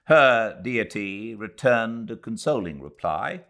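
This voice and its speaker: A man from Britain narrates a classic novel from beside his home fireplace, after a relaxed, chatty greeting to his listeners. His voice sits very low. He takes his time over each phrase. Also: vocal fry. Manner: relaxed, chatty intro then measured literary reading. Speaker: audiobook narrator. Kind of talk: live fireside book reading.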